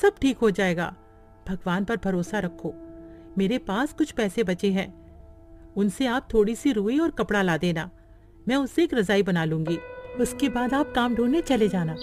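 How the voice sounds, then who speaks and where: A woman's voice: mid-range voice, expressive, normal speed, a little mellow, soft background music, lightly acted. A woman voicing a caring, soothing and respectful young wife in a cartoon story. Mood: reassuring, concerned. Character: caring, reassuring young wife of a poor man.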